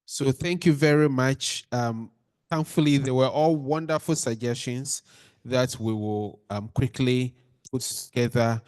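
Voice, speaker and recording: A man's voice: medium pitch, a little slow, darkish tone, smooth, no room sound, clean studio sound. A man chairing a general meeting in a courteous, procedural way.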